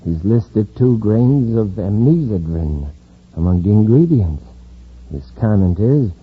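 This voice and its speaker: An actor playing a menacing criminal in an old-time radio serial, curt, bossy and threatening. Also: male voice, lowish voice, sing-song, unhurried, breathy edge, full character voice. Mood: coldly businesslike. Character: menacing male criminal gang member. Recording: muffled vintage radio recording.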